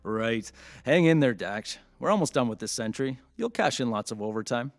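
An actor playing an upbeat, boyish radio show host who chats informally and with enthusiasm in an audio drama. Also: male voice, mid-range voice, lively intonation, moderate pace, close to an everyday voice. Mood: teasing, upbeat. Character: upbeat male late-night radio host, chatty and boyish.

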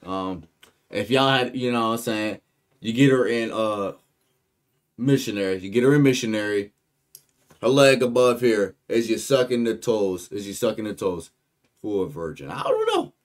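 A man's voice: lowish voice, sing-song, moderate pace. A young American man streaming from home on a headset mic, rambling and joking loosely with his chat while he reacts to a video. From United States. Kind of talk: casual live-stream commentary.

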